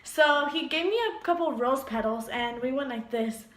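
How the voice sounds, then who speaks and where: A young woman telling a storytime tale in a vlog, animated and giggly, acting out voices as she goes. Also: high voice, moderate pace. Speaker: vlogger. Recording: echoey room.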